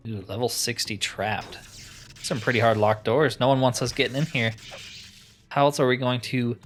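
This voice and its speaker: A man's voice: mid-range voice, steady tone, normal speed, slight background noise. A man giving casual let's-play commentary, musing aloud with wry asides as he plays. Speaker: gamer narrating his playthrough.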